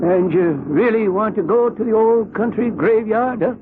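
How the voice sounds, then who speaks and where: A male actor plays a folksy, inquisitive small-town cabbie in a vintage radio play. His voice is quite high, on a dull old recording with faint car noise. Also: moderate pace, natural voice. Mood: curious, folksy. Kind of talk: old radio drama acting.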